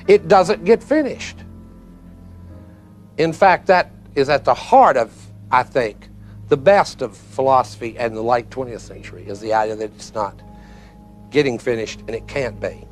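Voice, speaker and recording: A man's voice: mid-range voice, animated, measured pace, faint music behind. A man giving a spoken lecture in a folksy, emphatic manner, repeating phrases for effect. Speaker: philosophy lecturer.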